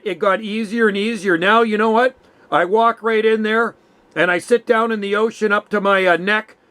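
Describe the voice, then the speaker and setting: High-voiced North American man chatting. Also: bright, forceful tone. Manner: easygoing, chatty, says "man" often. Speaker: amateur radio operator and channel host. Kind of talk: casual radio chat.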